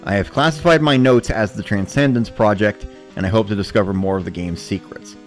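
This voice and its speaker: Guy reading a story in first person, lowish voice, matter of fact, bit of music behind. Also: brisk. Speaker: narrator of a dramatic reading.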